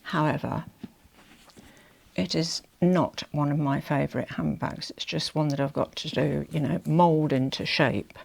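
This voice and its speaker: An older woman with a croaky, hoarse throat, chatting casually to camera as a fashion vlogger, rambling with confiding asides. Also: low-pitched, steady tone, a little slow, a little mellow. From England.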